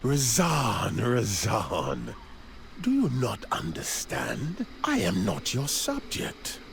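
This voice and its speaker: A treacherous male troll prophet from a game cutscene. He declaims very slowly in grand, zealous fashion, swinging widely in pitch, over faint background noise. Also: wildly swooping pitch, creaky, fairly bright tone, heavily acted. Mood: mocking, menacing. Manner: theatrical, grandiose, slow declaiming. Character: scheming male troll prophet, zealous traitor to his king. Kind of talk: video game cutscene acting.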